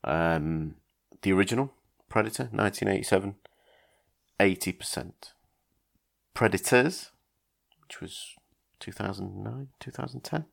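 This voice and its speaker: A man's voice: lowish voice, animated, a little slow, gravelly, fairly bright tone. A man hosting a casual film podcast, talking in a hesitant way with many ums and restarts. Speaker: podcast host.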